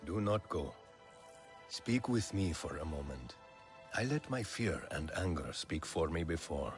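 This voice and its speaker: A grieving alien chief dad, really low voice, solemn, game cutscene with music under it. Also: male voice. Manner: solemn, measured. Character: stern grieving alien clan leader, widowed middle-aged father. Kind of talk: dramatic video game acting.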